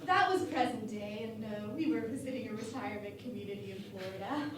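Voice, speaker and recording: A woman's voice: on the high side, close to an everyday voice. A woman playing a cheeky, wisecracking starship crew member in a parody radio play. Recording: very echoey, distant, noisy room.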